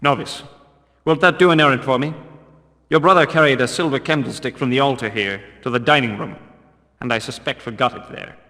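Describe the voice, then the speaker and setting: An actor playing a zealous guard of a stern religious order in a video game, speaking solemn, pious, archaic English. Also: male voice, medium pitch, a little fast, sharp tone. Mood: pious, formal. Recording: long cavernous reverb.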